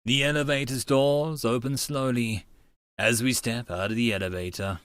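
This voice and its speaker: A man's voice: medium pitch, steady tone, normal speed, fairly bright tone, smooth, dry recording. A man narrating a visual novel read-along, reading scripted story text with casual asides.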